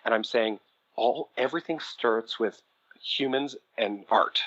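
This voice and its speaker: A man's voice: mid-range voice, measured pace, fairly bright tone, no echo, dull audio. A man who produces audio dramas, a guest on a podcast, explaining things in an enthusiastic way. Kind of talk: casual podcast conversation.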